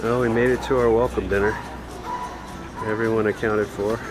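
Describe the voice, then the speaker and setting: A man's voice: on the low side, little pitch movement, moderate pace, music in the background, low-quality recording. A man leading a motorcycle tour, narrating his travel video in an easygoing, joking way, recorded outdoors. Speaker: tour leader filming the trip.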